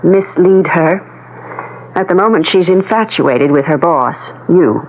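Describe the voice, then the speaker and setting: Composed, knowing wife, thin radio sound. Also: female voice, fairly low pitch, lively intonation, a little slow, a little hiss or hum. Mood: cool warning. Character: composed jealous middle-aged wife, knowing and foreboding. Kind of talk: 1970s radio drama acting. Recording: thin, narrow-band 1970s radio recording.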